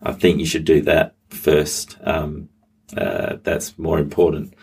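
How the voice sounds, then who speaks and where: Middle-aged aussie pastor guy, very low flat voice, gravelly, lots of ums. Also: slow. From Australia. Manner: hesitant, many ums and restarts. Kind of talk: interview guest, personal testimony.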